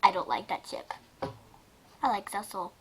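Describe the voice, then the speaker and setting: A woman casually reading fan fiction aloud and reacting to it, excitable and informal, often breaking into giggles. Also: mid-range voice, normal speed, noisy background. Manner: giggly, often breaking into laughter while talking. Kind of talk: casual reaction reading of a fanfic, mixing story and commentary.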